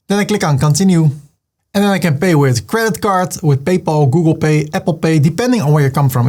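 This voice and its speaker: A man's voice: a little high, darkish tone. A man with a Dutch accent, from the Netherlands, giving a screen-recorded walkthrough step by step and reading the on-screen prompts aloud. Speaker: web tutorial host.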